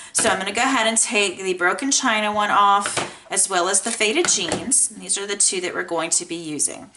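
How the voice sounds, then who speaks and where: A woman's voice: mid-range voice, pushed and bright. A woman giving a casual craft tutorial in a chatty, enthusiastic way, her voice slightly scratchy and congested from a sinus infection. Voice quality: a little scratchy. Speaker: crafting tutorial host, card maker. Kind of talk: casual how-to craft demonstration.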